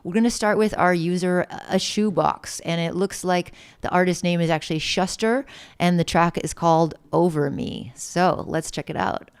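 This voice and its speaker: A woman's voice: lowish voice, steady tone, normal speed, clear, resonant tone. A woman in her thirties hosting a music feedback livestream, chatty and enthusiastic, with loose casual fillers. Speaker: livestream host. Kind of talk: upbeat casual stream hosting.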